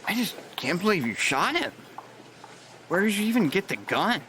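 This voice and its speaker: An actor playing a young male hospital coworker, eager and animated. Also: high voice, normal speed, slight background noise, heavily acted. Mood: incredulous. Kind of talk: audio drama acting.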